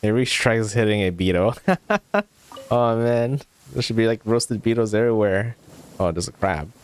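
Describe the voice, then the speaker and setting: A man's voice: on the low side, moderate pace, a little hiss or hum. A man giving casual let's play commentary as a gaming streamer, with game music behind him.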